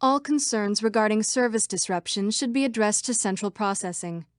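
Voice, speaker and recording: A woman's voice: medium pitch, normal speed, dry recording, studio quality, close to an everyday voice. An actress voicing female computer program citizens in a scripted video game. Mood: calm official announcement. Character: female computer program citizens, by turns frightened, defiant or official.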